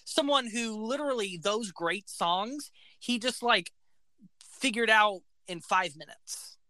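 A man with a high voice, talking eagerly about a band in casual podcast chat, full of facts and "like"s. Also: takes it slowly, bright, forceful tone, dry recording. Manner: enthusiastic, fact-filled, says "like" often. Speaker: podcast co-host.

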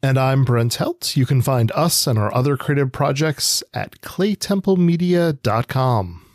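A man's voice: mid-range voice, expressive, measured pace, darkish tone, no room sound, clean studio sound. A man co-hosting a casual literary discussion, hesitantly thinking aloud in fragments. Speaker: podcast co-host.

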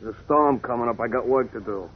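An actor playing a surly, suspicious caretaker, gruff, curt and rough in speech, in 1940s radio style. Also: male voice, medium pitch, fast talker, phone-quality sound, a little hiss or hum, natural voice. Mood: irritated, evasive. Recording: thin, band-limited old radio recording.

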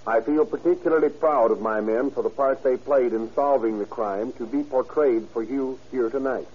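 A man with a husky voice giving a formal scripted 1930s radio introduction as a police official. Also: medium pitch, normal speed, a little mellow, slight background noise. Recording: dull vintage radio recording.

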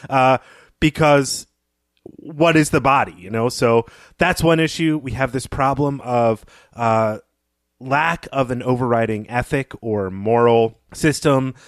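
A man's voice: mid-range voice, dry recording, clean studio sound. A man co-hosting a literary podcast, speaking conversationally with thoughtful, academic phrasing. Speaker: podcast co-host.

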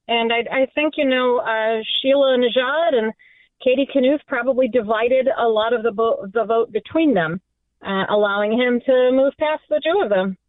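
Brief: Midwest woman pundit, higher voice, halting with ums, tinny phone-line sound. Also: dry recording. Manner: hesitant, many fillers. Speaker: political commentator. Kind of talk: radio panel discussion. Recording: phone-line guest, dry.

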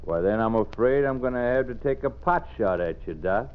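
A man's voice: mid-range voice, lively intonation, moderate pace, smooth, lightly acted. An actor playing a sly, menacing, folksy Old West henchman with a Western drawl in an old radio drama. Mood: sly threat. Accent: American Western drawl. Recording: dull old radio recording.

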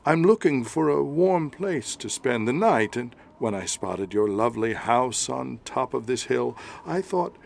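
A man's voice: medium pitch, lively intonation, unhurried, vocal fry, few pauses, slight background noise. A man playing a weary, humble wandering stranger in a children's story, speaking politely and hesitantly. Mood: weary, pleading. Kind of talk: children's story podcast character acting.

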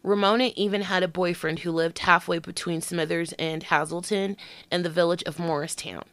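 A woman's voice: on the low side, steady tone, moderate pace, fairly bright tone, no echo. A woman hosting a true crime podcast with allergies and a slightly nasal voice, reading the case and slipping into casual, profane asides. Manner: reads the case then breaks into slangy, profane asides.

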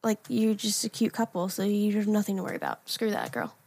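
A woman's voice: mid-range voice, sing-song, fast talker, gravelly, darkish tone, slightly rough, no echo. A young American woman co-hosting a casual podcast, talking in a blunt, feisty, dramatic way. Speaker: podcast co-host. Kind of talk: casual chat.

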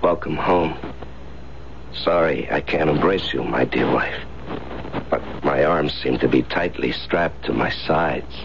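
A muffled, hissy old radio drama recording. a menacing, unhinged husband with an extremely deep voice, dragging out his words in an ominous, halting way. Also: vocal fry, heavily acted. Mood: sardonic. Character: menacing, unhinged husband brought home in restraints.

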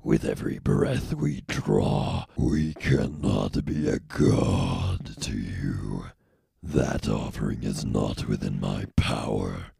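Monstrous, abyss-deep gravelly cyborg zealot. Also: male voice, warm tone, dry recording, clean studio sound, full character voice. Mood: grandiose, preaching. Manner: slow, solemn, preaching. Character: monstrous half-machine male cult leader, menacing, grandiose. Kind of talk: dramatic audiobook character acting.

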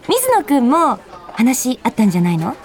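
A woman's voice: fairly high pitch, normal speed. An actress playing a pushy, flustered young office woman in a Japanese radio drama. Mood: insistent, eager. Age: young adult. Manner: insistent, excitable. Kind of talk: Japanese radio drama acting with inner monologue.

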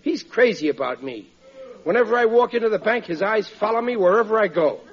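Hapless blustering husband, 1940s radio comedy, wildly varied pitch, muffled vintage recording. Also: male voice, animated, brisk. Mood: eager, boastful. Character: blustering, hapless working-class husband, stubbornly optimistic. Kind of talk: 1940s radio sitcom acting.

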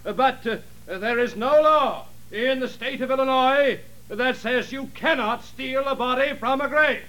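Very high, halting lawyer. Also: male voice. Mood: hesitant, pleading. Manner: halting, stammering courtroom address. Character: hesitant, fussy male defense lawyer. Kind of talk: 1940s radio drama acting.

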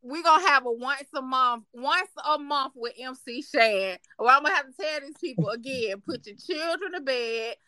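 An American woman hosts in a high, bright voice. She chats informally and emphatically, often saying a phrase twice. The recording is close and dry. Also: animated, normal speed, hardly any pauses, dry recording. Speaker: podcast host. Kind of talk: casual interview chat.

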